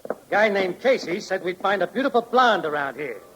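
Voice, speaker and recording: An actor playing a state police sergeant, barking orders in 1940s radio style. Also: male voice, high voice, expressive, a little fast, loud music behind the voice. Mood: commanding. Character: state police sergeant making an arrest, commanding. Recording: very noisy old radio recording with clicks.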